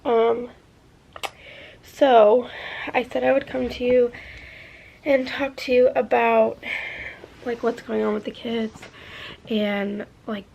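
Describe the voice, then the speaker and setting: A young woman talks to her camera in a loose, rambling way, leaning on fillers as she thinks aloud. Her voice sits a little high with a noticeable gravelly fry, and she sounds stuffed up from a sinus infection. Faint background noise runs under the recording. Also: level tone, slow pace, pauses often, darkish tone, dull audio. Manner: rambling, many fillers like "like" and "I don't know". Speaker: vlogger. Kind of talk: casual chat to camera. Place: in a car, then at home.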